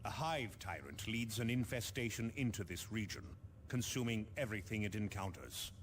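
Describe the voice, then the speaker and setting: An actor playing a grave military officer, giving formal, dramatic mission briefings in a video game. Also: male voice, on the low side, animated, normal speed, slight background noise, lightly acted. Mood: grave, resolute.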